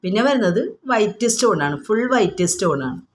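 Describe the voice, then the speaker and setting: A woman's voice: medium pitch, lively intonation, a little fast, slight background noise. A woman with a Kerala accent informally showing products item by item, explaining each and repeating prices in an unscripted, repetitive way.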